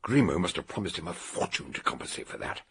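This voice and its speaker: An actor playing an eccentric older British detective in a radio drama, theatrically and deliberately laying out a murder solution in a gravelly, husky voice. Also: male voice, low-pitched, lively intonation, fairly bright tone, full character voice. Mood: animated, authoritative.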